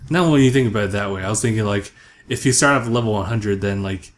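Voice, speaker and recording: A man's voice: on the low side, fast talker. A man chatting easily about games, laughing often and filling his talk with "like". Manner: easygoing, laughs often, many fillers. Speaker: co-host. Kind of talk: casual gaming discussion.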